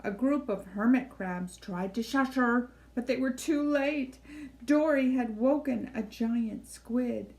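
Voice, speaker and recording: A woman with a fairly high voice narrates a children's storybook. She reads expressively and shapes her phrases deliberately, as in a library read-aloud. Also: a little mellow, low-quality recording.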